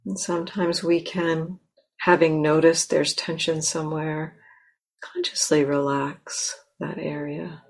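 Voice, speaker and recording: Female meditation teacher, low register, very slow pacing, gentle delivery with long pauses mid-sentence. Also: flat delivery, creaky. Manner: gentle, unhurried, pausing mid-phrase. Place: meditation center.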